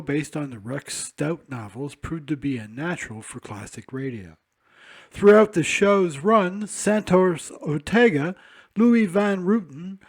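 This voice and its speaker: A man hosting a radio programme with scripted narration about broadcasting history. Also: a little high.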